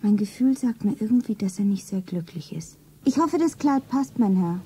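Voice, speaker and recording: Radio-play shopgirl, young, modest and dreamy; very mellow, husky-soft voice. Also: medium pitch, animated, normal speed, poor audio. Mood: bashful, polite. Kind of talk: radio play acting, self-narration.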